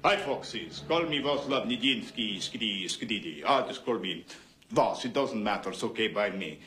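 A man's voice: on the low side, lively intonation, normal speed, sharp tone, pitched below the performer's own voice. A man putting on a gushing, chummy Russian ballet star in comic caricature, with a mock Russian accent and broken, effusive phrasing.

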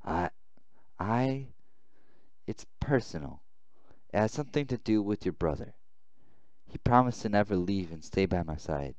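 A man reading a visual novel aloud as a blunt, defensive young woman in a militia, a little halting. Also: fairly low pitch, expressive, darkish tone, no echo, lo-fi sound. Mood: guarded.

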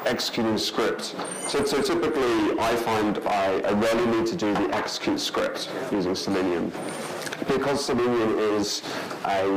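A man in his thirties answering audience questions at a conference talk, pausing with many ums and restarts. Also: fairly low pitch. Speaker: conference speaker. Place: large lecture hall. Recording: noisy hall recording.

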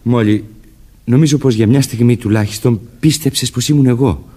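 An actor playing an affectionate, teasing young husband in a radio play, with a playful manner. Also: male voice, medium pitch, dark tone, slightly rough, close to an everyday voice. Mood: wry. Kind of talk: Greek radio play acting.

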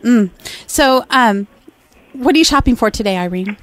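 Woman prank calling, sweet fake-nice voice on the high side, keeps poking fun. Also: expressive, moderate pace. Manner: sugary, teasing, calls her honey and sweetheart. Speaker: radio co-host making a prank call. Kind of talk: prank call.

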